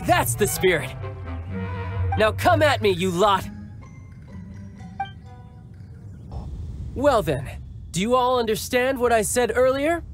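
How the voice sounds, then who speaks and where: A man's voice: extremely high pitch, animated, a little slow. A man voicing a cocky, playful young commander in a game cutscene, confident in speeches and fumbling his cover story, with light music behind. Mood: cheerful. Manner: confident speechmaking with awkward improvised cover stories. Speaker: young military commander posing as a toy seller. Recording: soft game music underneath.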